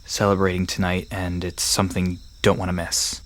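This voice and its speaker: A man's voice: deep voice, monotone, fairly quick, fairly bright tone. An actor playing a friendly, knowledgeable young man who explains folklore in an easygoing, reassuring way in an audio drama. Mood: easygoing, explanatory. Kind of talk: conversational audio drama acting. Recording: music and crickets behind voice.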